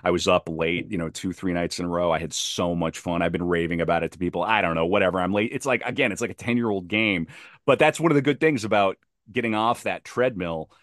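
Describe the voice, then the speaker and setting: A man's voice: sits low, fast, a bit bright, dry recording, clean studio sound. A man chatting casually on a podcast, emphatic and given to repeating his phrases for effect.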